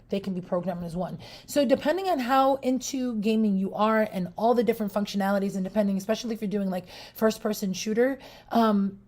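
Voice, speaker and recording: A woman with a Puerto Rican accent chats her way through a live product review. Her voice is warm and mellow, sitting in a middle pitch. She talks loosely, with fillers, restarts and friendly asides to her viewers. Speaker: product reviewer on a shopping livestream.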